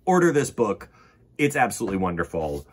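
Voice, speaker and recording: A man's voice: fairly low pitch, sing-song, fairly quick, slight background noise. A man hosting a children's storytime, talking straight to young viewers with enthusiastic, emphatic delivery and chatty asides. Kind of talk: animated picture-book reading with chatty asides.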